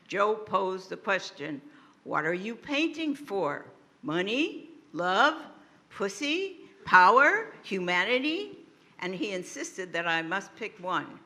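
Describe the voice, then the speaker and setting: A woman's voice: medium pitch, sharp tone. A woman writer at a panel, reading excerpts expressively with emphatic stresses and commenting on them.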